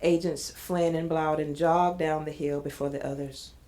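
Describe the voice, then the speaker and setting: A woman's voice: low voice, fairly even intonation, normal speed, mellow. A woman narrating an audio drama, reading screenplay stage directions in a scripted style.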